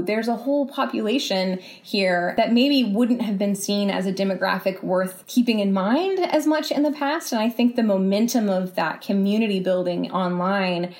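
A woman speaking as a guest in a podcast interview, reflective and explanatory, often pausing mid-phrase and softening points with casual qualifiers. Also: medium pitch. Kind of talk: thoughtful podcast interview conversation.

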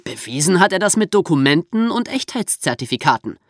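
A man's voice: high voice, sing-song, fairly quick, dry recording, studio quality. A man co-hosting a podcast, explaining history in a conversational storytelling manner. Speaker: podcast host.

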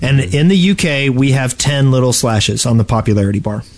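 A man with an average-pitched voice co-hosts a podcast. He talks in a casual, conversational style, as in an informal group chat. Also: expressive, a little fast. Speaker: podcast co-host.

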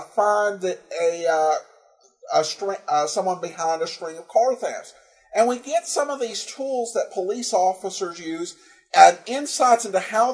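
A man with a high voice hosts a podcast. He gives informal commentary in a halting way, often searching for his words. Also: a little mellow, poor audio. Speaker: podcast host.

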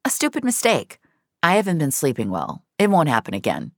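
A woman's voice: low-pitched, huge pitch swings, brisk, dry recording, studio quality, heavily acted. A woman voicing an anxious, blurting female agent in an audio drama. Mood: defensive. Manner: blurting, emotional, swearing. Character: foul-mouthed, anxious female dream-deleting agent.

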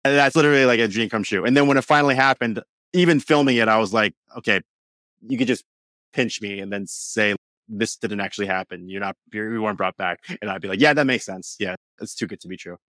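A young man talks in a low voice. He is chatty and upbeat, and he drops in plenty of "like"s. The sound is dry and close. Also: a bit bright, no room sound, rough recording. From New York, USA. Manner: upbeat, chatty, quick with fillers like "like". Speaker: actor guest. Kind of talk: casual interview chat.

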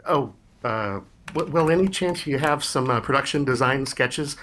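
An actor playing an eager but hesitant designer who stammers on the phone. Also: male voice, medium pitch, normal speed, fairly bright tone, slight background noise, lightly acted. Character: eager, timid merchandise designer man.

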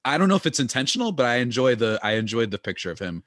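A man co-hosting a podcast in casual chat, animated and talkative, often laughing. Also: medium pitch, quick pace, no echo, studio quality. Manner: animated, chatty, laughs often, many "like" fillers. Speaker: podcast co-host.

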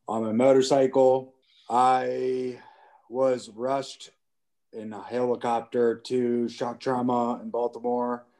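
A man who has aphasia, talking in a casual group chat about his recovery in slow, halting phrases with restarts. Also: medium pitch, darkish tone. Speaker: podcast guest with aphasia.

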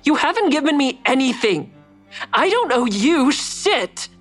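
A woman's voice: high voice, sing-song, a little slow. An actress playing a guarded, defiant woman in an audio drama, narrating and speaking in a terse, dry, confiding way. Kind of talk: first-person audio drama narration and dialogue. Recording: faint music under the voice.

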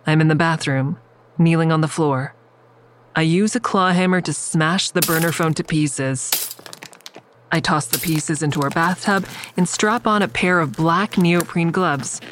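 An actress playing an observant, wry, secretive woman who narrates her own story in an audio drama, measured and descriptive. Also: deep voice, a little hiss or hum. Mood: wry, uneasy. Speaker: narrator and lead character.

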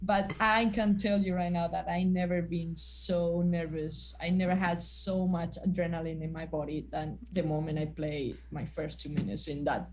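A woman in her thirties, a former college athlete, telling stories as a guest in a casual interview, halting with many fillers and restarts. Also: fairly low pitch, flat delivery, slight background noise.

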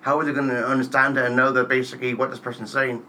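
A man's voice: mid-range voice, little pitch movement, rapid-fire, bright, forceful tone. A man interviewing in a casual, chatty conversational way. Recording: faint background noise.

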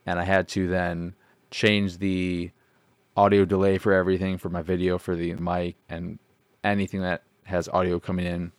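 A man with a low, deep voice chats on his own as a podcast host. He rambles, pokes fun at himself and swears now and then. The sound is dry and close, with no room around it. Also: completely flat delivery, moderate pace, no room sound. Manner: rambling, self-deprecating, swears casually. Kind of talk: casual solo podcast chat.

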